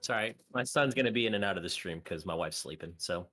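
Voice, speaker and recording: Bright-voiced laid-back gaming guest. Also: male voice, deep voice, quick pace. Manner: relaxed, laughs easily, swears casually. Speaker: streamer guest. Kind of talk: casual chat.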